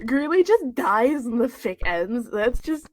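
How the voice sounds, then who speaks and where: A woman's voice: a little high, big pitch swings, unhurried. A young woman in casual, joking conversation with a friend, giggly, hesitant and ironic. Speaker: co-host reading fanfiction.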